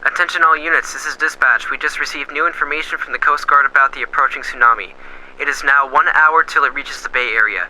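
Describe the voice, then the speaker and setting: A steady male police dispatcher, played by a man, rattles off orders at a quick clip. His voice is bright and sharp over a faint hiss. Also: medium pitch, poor audio. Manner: crisp, procedural radio talk. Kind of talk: amateur radio drama acting.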